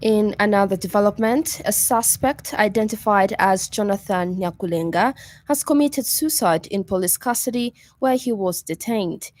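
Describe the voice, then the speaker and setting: A woman with a Zambian accent reading a scripted radio news bulletin in a formal, measured manner. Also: mid-range voice, no echo.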